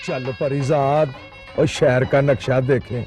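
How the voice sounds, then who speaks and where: A man's voice: medium pitch, fairly even intonation, slow pace, darkish tone, faint music behind, close to an everyday voice. A man playing a dreamy, exultant horse-cart driver in an Urdu television film, musing aloud in exclamations. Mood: delighted.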